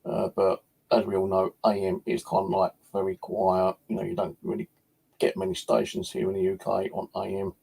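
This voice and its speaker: A British man with a fairly low voice talks casually to a livestream audience. His tone is husky and full of creak. He rambles informally, hesitating as he goes. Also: level tone, normal speed, mellow. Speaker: paranormal livestream host.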